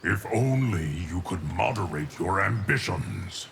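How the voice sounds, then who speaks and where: Game warrior-sage: low, creaky gravel, solemn, cryptic, measured delivery, faint noise behind. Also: male voice, expressive, heavily acted. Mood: solemn, stoic. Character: solemn, ancient male guardian of time, stoic warrior. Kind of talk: video game character acting.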